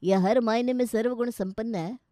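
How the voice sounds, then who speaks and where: A woman voicing a formal, persuasive Indian pandit matchmaker in a story, measured and approving. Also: fairly high pitch, warm tone, no room sound, studio quality, lightly acted. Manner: measured, salesman-like praise.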